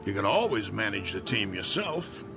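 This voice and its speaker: A wisecracking baseball manager, lively pitch. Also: male voice, moderate pace, creaky, music in the background. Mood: sour, exasperated. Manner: sarcastic, slangy, blunt. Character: wisecracking, sour, fast-mouthed baseball team manager. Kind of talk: radio drama acting.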